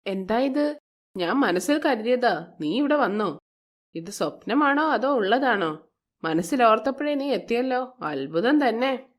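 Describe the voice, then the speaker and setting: A resentful middle-aged stepmother from a moral tale, acted out by a woman storyteller. Her fairly high voice carries a sharp, scolding edge, full of complaint and sarcasm. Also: lively intonation, a little slow. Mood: sarcastic, displeased.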